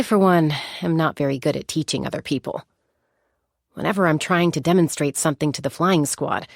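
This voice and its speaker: An actress playing a composed, confident young warrior woman in a video game, speaking in a measured, articulate and reflective way. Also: sits low, creaky, slightly rough, no room sound, clean studio sound, heavily acted. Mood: wry, admiring. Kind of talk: scripted game character monologue.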